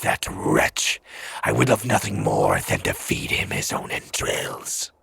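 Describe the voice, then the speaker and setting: An imperious, cruel demon master from an audio drama. The voice is sharp-edged and heavily fried, hissing and growling, and it swings widely in pitch as it threatens. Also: male voice, wildly swooping pitch, full character voice. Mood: brooding menace. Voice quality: gravelly growl with hissing breath. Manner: slow, formal, menacing. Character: demonic spectral overlord, menacing, cold, cruel and imperious master. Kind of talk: audio fiction villain acting.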